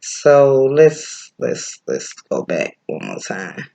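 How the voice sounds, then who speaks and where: A man with a Midwestern accent talks through breathing techniques in a loose, chatty way. His voice sits at a middle pitch with a gravelly edge. He drifts off in thought and fills his pauses with "um" and "uh". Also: wildly swooping pitch, warm tone. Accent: Midwestern American. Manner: laid-back and chatty, stops often with fillers to search for a name. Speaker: breathwork and yoga teacher. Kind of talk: casual spiritual teaching, rambling.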